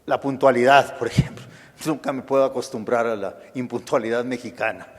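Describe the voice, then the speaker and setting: A man's voice: mid-range voice, a little fast. Older Chicano man lecturing in a hall. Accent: Chicano Spanish. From United States. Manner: thoughtful, with frequent restarts and fillers. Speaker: guest lecturer, historian. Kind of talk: lecture answering audience questions. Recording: lecture hall with long reverb.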